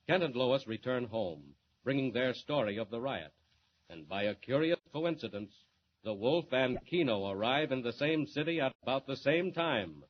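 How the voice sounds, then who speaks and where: A man narrating a 1940s radio serial as its announcer, in dramatic scripted style. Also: medium pitch. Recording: muffled old radio recording, faint hiss.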